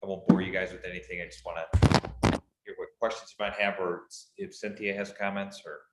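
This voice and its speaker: A man's voice: low-pitched, level tone, fairly quick, echoey room, heavy background noise. A man on an advisory board, giving an easygoing, informal briefing to a city council in a council chamber. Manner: loud, easygoing, self-deprecating. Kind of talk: informal briefing at a public meeting.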